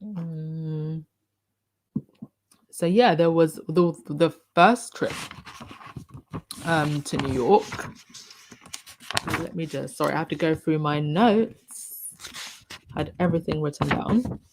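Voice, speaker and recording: A woman with a croaky voice hosting a casual live stream, chatting and reading out viewer comments with many ums and restarts. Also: sits low, slow, pauses often, noisy background.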